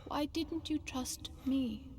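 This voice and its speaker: Young video game princess, kinda high voice, brave n tender, hurt but trusting, real earnest. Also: female voice, slow pace, a little mellow. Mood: reproachful, hurt. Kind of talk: video game cutscene acting.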